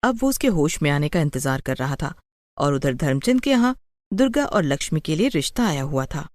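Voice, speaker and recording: A woman's voice: sits low, sing-song, brisk, darkish tone, no echo, clean studio sound. A woman narrating a scripted bedtime moral story.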